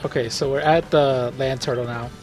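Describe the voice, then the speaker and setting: A man's voice: mid-range voice, fairly even intonation, moderate pace, soft background music. A man giving casual, rambling commentary over a mobile game run, full of fillers and self-corrections as he walks viewers through it. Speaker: gaming video host.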